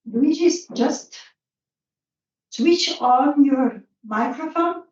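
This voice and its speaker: A woman's voice comes from a distance in heavy echo, slightly dull in sound. She moderates an open discussion informally, with an unhurried pace and a mellow, higher-pitched tone. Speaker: symposium moderator.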